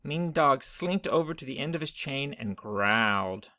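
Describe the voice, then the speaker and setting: A man's voice: medium pitch, expressive, measured pace, bright, forceful tone, no room sound, studio quality. A man narrating a children's story, read aloud from a storybook.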